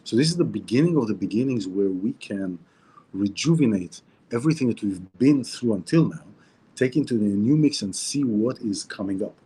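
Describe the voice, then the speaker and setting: Husky low-voiced man with an Israeli accent. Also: lively intonation, normal speed, mellow, low-quality recording. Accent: Israeli Hebrew. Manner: reflective, repeats phrases and restarts often. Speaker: host of a weekly Torah talk. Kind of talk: casual spiritual talk. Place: indoors at a seaside hotel.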